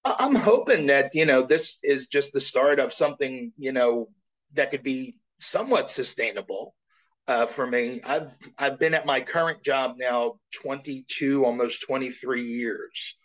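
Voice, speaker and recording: Earnest middle-aged man, halting restarts. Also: mid-range voice, fairly bright tone, poor audio. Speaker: voice actor guest. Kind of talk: casual interview chat.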